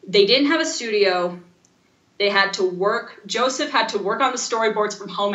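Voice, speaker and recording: A woman co-hosting a podcast in casual, opinionated chat, speaking in an animated, emphatic way. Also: medium pitch, roomy sound, dull audio. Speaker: podcast co-host.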